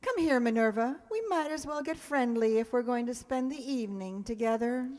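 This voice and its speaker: An actress as a wry schoolteacher heroine of a radio comedy, high-pitched and dryly sardonic. She turns her jokes on herself, and her voice carries through a reverberant hall. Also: normal speed, darkish tone, natural voice. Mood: dry, self-mocking. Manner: dry wisecracking, sardonic. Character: wry, lonely, self-mocking single schoolteacher woman. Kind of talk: old-time radio comedy acting. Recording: reverberant hall stage recording.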